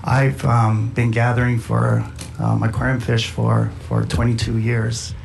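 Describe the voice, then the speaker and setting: Middle aged hawaii guy testifying, really gravelly, halting, faint noise behind him. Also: medium pitch, little pitch movement, darkish tone. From Big Island of Hawaii. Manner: halting, deliberate. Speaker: fisherman and farmer giving testimony. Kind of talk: public testimony. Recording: hearing room with faint noise.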